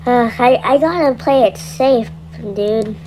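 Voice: medium pitch, takes it slowly, darkish tone, slight background noise, close to an everyday voice, rough recording. A performer voicing a scared young boy trapped in nightmares, talking to himself in casual slang in an amateur horror roleplay. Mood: uneasy.

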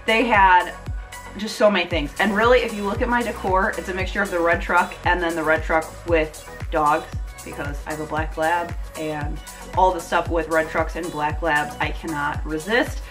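A woman's voice: fairly low pitch, steady tone, normal speed, music in the background. A woman in her thirties chatting casually and enthusiastically, gushing her way through a shopping haul as a vlogger. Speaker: home decor vlogger.

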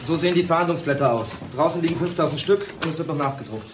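A junior policeman played by a man reports in a crime radio play. His voice is fairly high and fast, over clear noise. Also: close to an everyday voice. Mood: businesslike. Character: quick-talking junior police colleague reporting to boss. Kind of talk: German crime radio drama acting.

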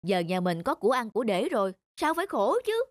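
A brash whiny cartoon villager, scoffing spendthrift younger brother, really swoopy delivery. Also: male voice, sits high, big pitch swings, brisk, no room sound, clean studio sound. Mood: irritated. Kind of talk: animated cartoon dialogue.

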